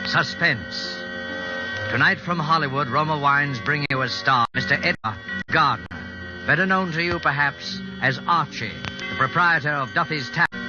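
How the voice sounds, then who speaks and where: A man introducing a program as announcer, formal and measured, in 1940s radio style. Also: a little high. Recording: dull old radio recording with music.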